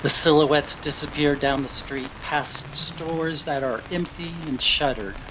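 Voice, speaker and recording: A man reads scripted story narration for a radio drama in a measured, storytelling way. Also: fairly high pitch, noisy background. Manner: measured storytelling in present tense, acting out brief quotes.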